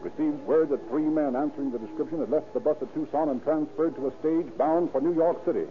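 A man narrating a scripted old-time radio crime drama in a formal, dramatic, measured manner. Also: fairly high pitch, faint music behind. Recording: tinny old radio recording.

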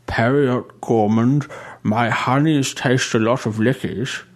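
A man voicing a fussy, sweet-obsessed male bishop who talks in a prissy, gushing way, set back from the mic and higher than his own voice. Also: steady tone, slow pace. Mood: dreamy.

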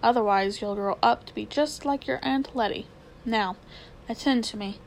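A woman's voice: a little high, normal speed, gravelly, low-quality recording. A woman voicing a pompous, scheming elderly male magician with a haughty, lecturing manner in a storybook reading. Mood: condescending. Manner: haughty, lecturing, self-important.

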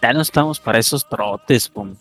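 A man's voice: mid-range voice, moderate pace, a bit bright, faint music behind. A man in his early twenties with a Mexican accent, co-hosting a podcast and ribbing his friends. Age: 20s. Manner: teasing, mock-formal. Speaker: podcast co-host. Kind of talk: casual chat among friends.

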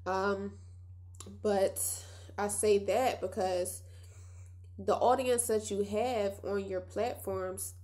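A woman's voice: mid-range voice, fairly even intonation, slow pace, darkish tone. Nasal young woman chatting on a podcast. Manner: chatty and reflective, addresses listeners as y'all. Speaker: podcast host. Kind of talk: casual solo podcast monologue.

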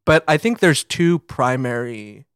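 An American man with a mid-pitched voice talks casually as a podcast co-host. The sound is dry and close, and he explains things like an animated storyteller, loose and slangy. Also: a little slow, clean tone, no room sound.

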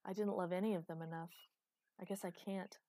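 A woman's voice: lowish voice, fairly even intonation, a little fast, warm tone, no echo, lightly acted. A woman voicing a despairing, self-loathing male lover in a fantasy audiobook, apologetic and pleading. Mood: remorseful pleading. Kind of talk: audiobook character acting.

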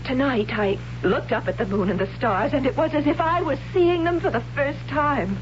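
A torn, halting warrior woman. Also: high-pitched, animated. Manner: halting, hesitant, breaking into tears. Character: troubled young warrior woman torn by forbidden love. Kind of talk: 1970s radio drama acting. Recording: muffled old radio broadcast with hiss.

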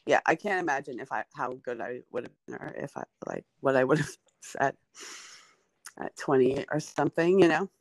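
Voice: medium pitch, moderate pace, no room sound, poor audio. A comedian in their forties, guesting on a podcast in casual, rambling chat, full of fillers. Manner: rambling, filler-heavy, chatty.

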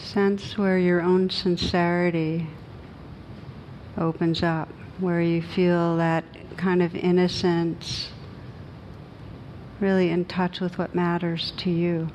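A woman meditation teacher leading a guided meditation in a gentle, soothing, unhurried manner with long pauses. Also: little pitch movement. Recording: noisy recording with low rumble.

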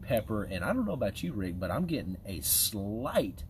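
Brief: male co-host, lowish voice, talks in restarts; clear noise underneath. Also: big pitch swings, normal speed. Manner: chatty, hesitant with restarts. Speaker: podcast co-host. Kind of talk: casual chat, cigar tasting notes. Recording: noisy background hiss.

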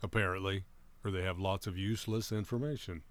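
Low-voiced man in casual chat. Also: fairly even intonation, fairly quick. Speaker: talk show co-host.

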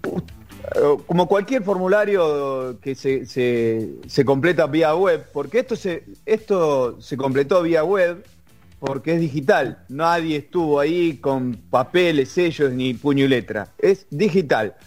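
A man's voice: a little high, animated, a little slow, darkish tone, faint music behind. A man with an Argentine accent talking sports in casual chat, emphatic and explanatory, often restarting words. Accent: Argentine Spanish.

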